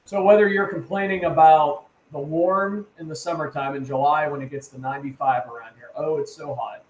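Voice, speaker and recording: A man's voice: fairly high pitch, steady tone, a little fast. A man hosting a casual talk show who rambles, repeats his words and keeps checking in with "right?" and "man". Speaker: host. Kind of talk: casual chat. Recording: echoey room with faint ambience.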